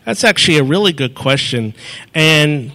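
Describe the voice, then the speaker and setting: A man's voice: fairly high pitch, lively intonation, moderate pace, pushed and bright, rough recording. Rambling man explaining a phone demo. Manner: rambling, self-correcting, step-by-step explaining. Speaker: presenter answering audience questions. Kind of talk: live demo talk at a meeting. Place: meeting room with audience. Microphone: handheld mic.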